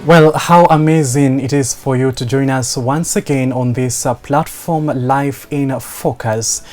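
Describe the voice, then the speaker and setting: A man hosting an interview show, speaking in a measured, hesitant way with many pauses. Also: medium pitch, steady tone, darkish tone, faint music behind. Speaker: host.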